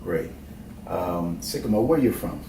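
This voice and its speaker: Man, host, very deep voice, formal, halting with pauses, distant mic, echoey room, faint hiss. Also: expressive. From Bermuda, raised in New Jersey. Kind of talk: interview hosting.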